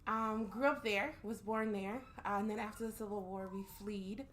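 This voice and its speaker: Female guest, 30s, from Somalia; calm, measured storytelling. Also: medium pitch, a little hiss or hum. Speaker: podcast guest. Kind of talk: casual interview chat.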